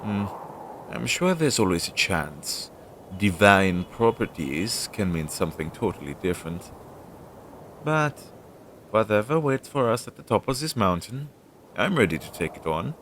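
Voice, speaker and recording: An anxious demon familiar in crow's guise, voiced by a man for an audio roleplay. He talks slowly in a fairly low voice, hesitant yet chatty and devoted to his master. Clear background noise runs through the rough home recording. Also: big pitch swings, fairly bright tone. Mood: curious, thoughtful. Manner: hesitant, chatty, with sighs and trailing asides. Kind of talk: scripted audio roleplay addressed to the listener.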